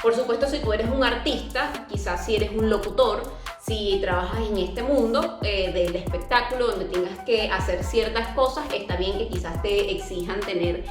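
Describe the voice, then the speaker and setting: Young venezuelan woman, earnest vlog talk, roomy echo, clear music underneath. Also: mid-range voice, normal speed, hardly any pauses. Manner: earnest, with frequent fillers and restarts. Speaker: YouTuber. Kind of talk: casual vlog talk to camera. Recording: echoey room with music behind.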